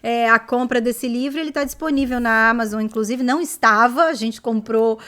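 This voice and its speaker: A woman hosting a casual book review, talking carefully and often pausing to search for words. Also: a little high, a bit bright. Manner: hesitant, searching for words with fillers. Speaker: host.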